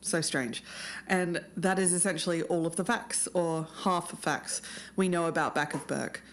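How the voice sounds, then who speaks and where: A woman's voice: on the low side, fairly even intonation, moderate pace, a little hiss or hum. A woman co-hosting a podcast and delivering scripted narration. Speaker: podcast co-host.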